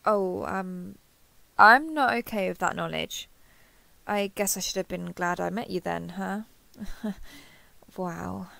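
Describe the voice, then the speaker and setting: A woman's voice: fairly low pitch, takes it slowly, creaky, long pauses, bright, forceful tone, no echo, exaggerated voice. A woman playing a kindhearted, awkward, easily flustered human in an intimate roleplay audio, hesitant and stammering as she asks curious questions. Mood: curious, disbelieving. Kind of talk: intimate roleplay audio acting, one side of a conversation.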